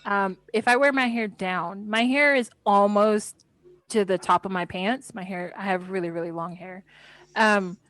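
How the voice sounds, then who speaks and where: A woman in her thirties co-hosting a podcast in casual chat, blunt and animated, swearing when something annoys her. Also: medium pitch, a little slow, dry recording. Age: 30s. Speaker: podcast co-host.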